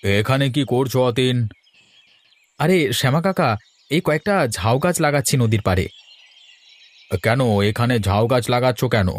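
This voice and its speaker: A man's voice: medium pitch, lively intonation, a little slow, pauses often. A man voicing cartoon dialogue in a conversational, explanatory way. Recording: music behind the voice.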